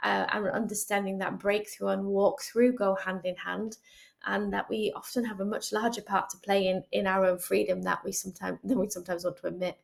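A woman's voice: medium pitch, level tone, fairly quick, gravelly. A woman guest speaking earnestly about faith and healing, often restarting phrases as she thinks aloud. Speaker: guest, charity chief executive. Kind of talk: reflective faith conversation.

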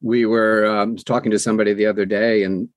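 A man's voice: lowish voice, flat delivery, brisk, no room sound. A man in his sixties talking conversationally as an interview guest, in a folksy way with phrases repeated for emphasis. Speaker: interview guest, audiobook narrator.

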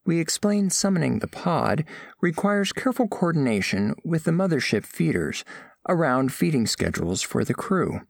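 Formal alien scholar, lively creaky delivery. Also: male voice, on the high side, no echo, studio quality, full character voice. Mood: formal, explanatory. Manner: formal, precise, literal wording. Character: earnest, formal alien scholar on a diplomatic mission. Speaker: narrator of an audio drama. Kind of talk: scripted first-person narration.

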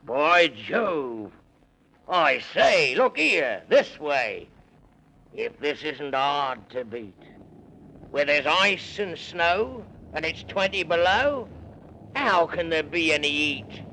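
A jaunty gentleman adventurer talks in a lively, swooping, fairly high voice. He is courteous and theatrical, in the style of 1930s children's radio, with light noise from the old recording. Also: slow pace, creaky, heavily acted, low-quality recording. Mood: astonished.

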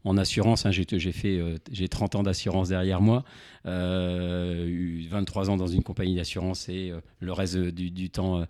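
A man in his fifties from Normandy with a fairly low voice, recorded dry in a studio. He gives conversational interview answers haltingly, repeating small words as he looks for the right phrase. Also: level tone, a little mellow, no room sound. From Normandy, France. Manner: hesitant, halting, repeats words while searching. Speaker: interview guest, insurance broker. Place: indoors, radio studio.

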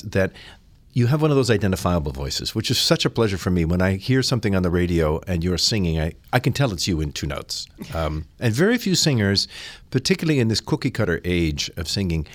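Lively, low-voiced male interviewer. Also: dry recording. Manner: effusive, articulate, long admiring questions. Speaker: interviewer, host. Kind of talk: arts radio interview.

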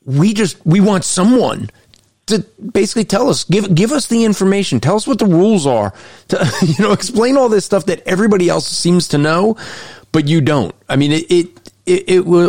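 A man's voice: sits high, expressive, fairly quick, darkish tone, dry recording, studio quality. A man hosting an interview podcast, talking in an earnest, explanatory way. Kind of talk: conversational interview.

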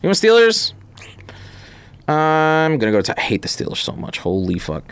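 A man talking casual sports banter as a podcast guest, excitable, slangy and prone to swearing. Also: fairly high pitch, normal speed, clean tone, no echo. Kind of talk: casual sports banter, picking game winners.

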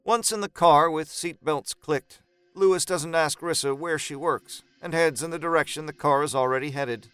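A man's voice: a little high, moderate pace, a bit bright, clear, resonant tone, no echo. A man narrating a scripted horror story as part of an audio drama.

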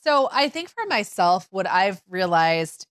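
A woman's voice: mid-range voice, big pitch swings, a little slow, a bit bright, no echo. Chatty mother of five, dry sound. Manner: chatty, storytelling with many fillers and restarts. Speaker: podcast co-host. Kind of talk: casual conversational chat.

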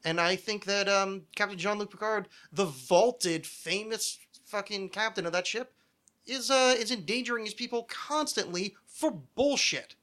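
Bright-voiced, higher-pitched man ranting casually. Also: unhurried. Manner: ranting, profane, nerdy. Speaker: podcast host. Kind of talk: casual opinionated chat.

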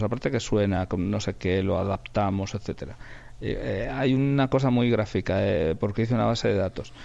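A man with an accent from Spain and a lowish voice talks casually about producing sound. He is colloquial and tends to repeat phrases to press a point, as in a relaxed interview. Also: gravelly, muffled recording. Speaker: radio drama sound producer.

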